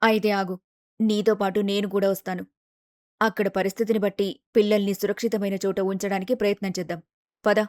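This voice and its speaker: A woman's voice: medium pitch, flat delivery, brisk, darkish tone, no room sound, studio quality, voice pushed down, close to an everyday voice. A woman voicing a firm, caring older mother-in-law who gives advice in a storybook tale. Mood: concerned, firm. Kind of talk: storybook character dialogue.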